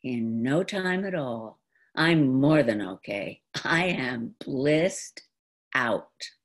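An older woman of around seventy performing a dramatic, theatrical monologue in an expressive, deliberate manner. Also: extremely low pitch. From New York, United States. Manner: expressive, deliberate, acted-out delivery. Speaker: stage actress performing an autobiographical monologue. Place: indoor room at home, before a folding screen. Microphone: computer video call microphone.

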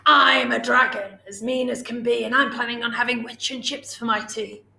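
A mean storybook dragon, acted out by a woman, loud and menacing. It boasts with greedy relish in an echoey room. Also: fairly high pitch, fairly even intonation, normal speed, creaky, exaggerated voice.

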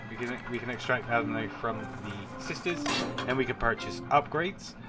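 A man's voice: mid-range voice, normal speed, loud music behind the voice. A man with a congested, cold-stuffed voice giving casual, rambling gameplay commentary with some swearing. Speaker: gaming channel host. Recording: noisy track with game ambience. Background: video game ambience.

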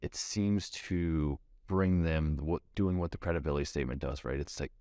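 A man's voice: extremely low pitch, normal speed, warm tone, dry recording, clean studio sound. A man in his thirties, a plumbing salesperson, explaining his work in a practical, detailed way and often repeating words as he thinks. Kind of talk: casual interview answers. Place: TV studio set.

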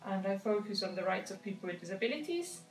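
A woman's voice: medium pitch, lively intonation, fast talker, slight background noise. A woman in her thirties from Finland, a human rights advisor introducing herself and her work. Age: 30s. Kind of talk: self-introduction, talking to camera. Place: indoor room. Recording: echoey room, voice from a distance.

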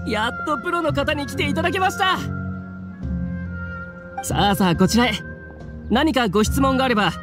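A man's voice: very high-pitched, lively intonation, moderate pace, pauses often, music in the background. An actor playing a courteous, eager young man who organizes events in an anime game, speaking formally and earnestly. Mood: welcoming. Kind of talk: Japanese anime game acting.

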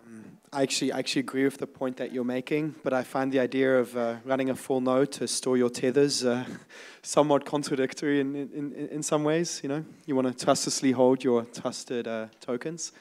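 A man's voice: mid-range voice, steady tone, brisk, hardly any pauses, a little mellow. A man giving his opinions in an informal panel discussion, hesitating and repeating words as he measures his points. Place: conference room with seated audience.

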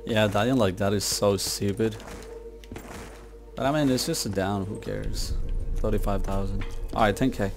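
A man's voice: on the low side, steady tone, moderate pace, gravelly. A man giving casual, laid-back gaming commentary, muttering to himself as he plays. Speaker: gamer narrating own gameplay. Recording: game music and gunfire behind. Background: zombie shooter game music and gunfire.

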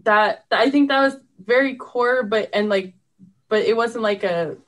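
A woman's voice: a little high, a little slow, a bit bright. A woman guest in a casual interview, reflecting in hesitant, carefully hedged phrases. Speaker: show co-creator, guest.

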